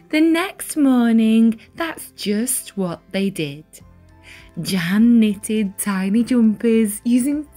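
A woman reading a children's storybook aloud as storyteller, with deliberate, dramatic emphasis on key words. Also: medium pitch, mellow. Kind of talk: expressive read-aloud storybook narration.

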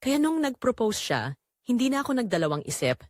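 A woman playing a betrayed young wife in an audio drama, narrating her heartbreak in earnest, measured, confessional phrases. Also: fairly high pitch, big pitch swings, no room sound, studio quality. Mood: wounded, reflective. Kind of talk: scripted first-person dramatic narration.

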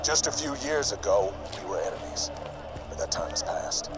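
An actor playing an earnest, resolute military leader with a commanding, solemn way of speaking, in a video game. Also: male voice, fairly low pitch, big pitch swings, moderate pace. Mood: solemn, urgent. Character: earnest, resolute male military resistance leader rallying soldiers. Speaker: game character. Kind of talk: scripted speech in a video game audio log. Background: music.

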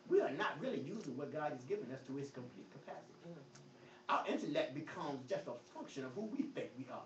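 Echoey distant preacher man, high voice. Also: slight background noise. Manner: preachy, emphatic, rises and drops in volume; says he stutters. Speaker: pastor. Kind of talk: Bible study teaching. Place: church hall. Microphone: phone across the room. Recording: echoey, distant, lo-fi phone recording.

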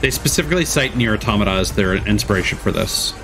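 Guy with a lowish voice reacting on stream, casual, some music behind him. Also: expressive, fast, gravelly. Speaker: streamer reacting to a games showcase. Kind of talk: casual live commentary. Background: game trailer music.

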